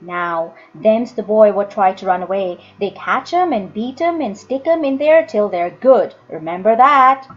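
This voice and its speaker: A woman voicing a bent, rough but kindly old workhouse man who talks bluntly in rustic dialect. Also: mid-range voice, expressive, unhurried, few pauses, lo-fi sound, close to an everyday voice. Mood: muttered warning.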